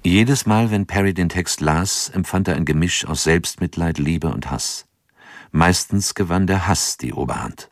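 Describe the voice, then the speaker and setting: A male narrator with a deep voice delivers a radio drama script in a calm, measured way. The delivery stays even and controlled. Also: no echo.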